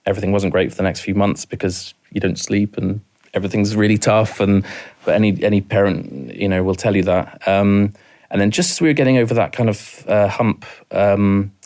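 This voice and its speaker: A man with a Welsh accent from rural mid Wales, in his thirties, telling a painful personal story as a podcast guest, halting and repeating words as he goes. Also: sits low, a little mellow.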